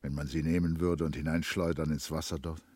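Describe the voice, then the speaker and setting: Deep-voiced, sombre male narrator. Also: little pitch movement, a little fast, a little mellow, dry recording. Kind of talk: literary scripted radio drama narration.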